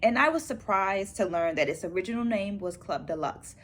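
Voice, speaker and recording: A middle-aged woman presenting to viewers as a dance educator, in a measured, careful, teacherly way. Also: mid-range voice, expressive. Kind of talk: book-review vlog, presenting takeaways to viewers. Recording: clear background noise, room ambience.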